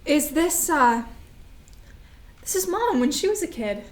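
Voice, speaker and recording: A woman's voice: high-pitched, very animated, measured pace. A woman playing an immature, naive younger sister with a hesitant way of speaking in a staged play reading. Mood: wistful, confused. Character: Pearl, immature younger sister, grown and as child.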